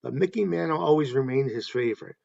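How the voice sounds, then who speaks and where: A man hosting a tribute talk, reading anecdotes in a halting way and correcting himself as he goes. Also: mid-range voice, gravelly, dark tone, highs cut off. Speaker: host paying tribute to a stadium announcer.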